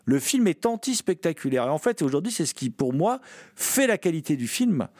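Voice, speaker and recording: A man in his forties in a relaxed talk about cult films, thinking aloud with many restarts. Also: on the high side, a little fast, no echo, studio quality. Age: 40s. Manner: animated, musing, many restarts. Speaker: host. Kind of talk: casual film discussion.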